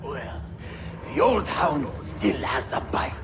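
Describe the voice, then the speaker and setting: An actor playing a ruthless Templar captain in a video game cutscene, taunting and theatrical with a gravelly edge. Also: male voice, fairly high pitch, sing-song, over music. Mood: weakened, taunting. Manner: taunting, theatrical, drawn-out delivery. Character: ruthless swaggering Templar captain, a shouting villain.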